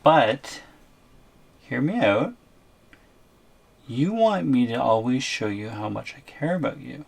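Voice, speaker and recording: A man's voice: medium pitch, expressive, takes it slowly, vocal fry, pauses often. A man playing a wary, resigned captive boyfriend in an ASMR audio roleplay, hesitant and trying to reason his way along. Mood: coaxing.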